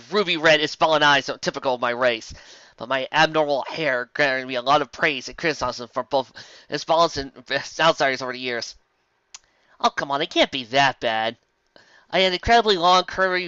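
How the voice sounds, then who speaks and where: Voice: mid-range voice, normal speed, pushed and bright, clear, resonant tone, dry recording, muffled recording. A host reading fan fiction comedically, with theatrical, exclamatory delivery and sarcastic asides. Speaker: host of a fanfic reading show. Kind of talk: comedic dramatic reading with mocking commentary.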